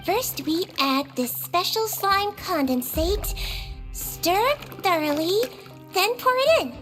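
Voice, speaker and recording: A woman voicing a small, kindly girlish head nurse in a video game, gentle, reassuring and instructive. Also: very high voice, expressive, slow pace, exaggerated voice. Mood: cheerful, instructive. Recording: music under the voice.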